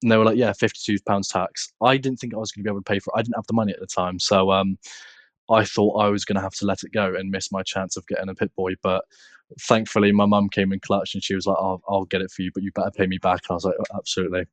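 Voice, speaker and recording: Man, British accent, fairly low pitch, dry close sound, casual podcast guest. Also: flat delivery, quick pace, no echo. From United Kingdom. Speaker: podcast guest, content creator. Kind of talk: casual chat.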